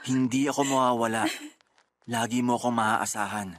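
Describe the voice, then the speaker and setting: An actor playing a patient, devoted young husband, speaking earnestly and reassuringly in a radio drama. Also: male voice, medium pitch, fairly even intonation, normal speed, slight background noise, close to an everyday voice. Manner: earnest, consoling. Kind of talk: Tagalog radio drama acting.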